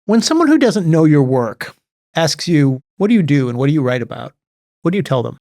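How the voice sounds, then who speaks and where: A man interviewing a novelist on a podcast, asking long, measured questions with some hesitation. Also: a little high, sing-song, mellow, no room sound, studio quality.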